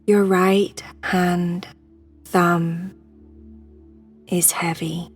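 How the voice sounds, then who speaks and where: Woman, meditation guide, soft breathy near-whisper, soothing monotone, faint music underneath. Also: lowish voice, extremely slow.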